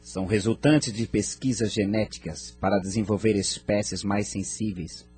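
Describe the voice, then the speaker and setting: Dignified alien nobleman for audio drama, played by an actor: deep male, heavily breathy-rough, courteous and measured. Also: steady tone, darkish tone, soft background music. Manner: measured, formal, explanatory. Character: noble male elder of an advanced alien people, courteous, wise.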